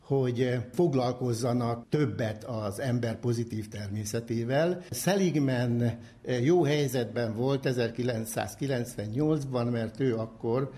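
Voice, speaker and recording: An older man giving a measured, formal explanation as an academic expert. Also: fairly low pitch, dark tone. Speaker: psychology professor, interviewed expert.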